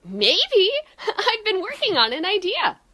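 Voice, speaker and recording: An actress playing an eager, giggly young woman student in an audio drama, bubbly and excitable. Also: extremely high pitch, slow, full character voice. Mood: shy, eager.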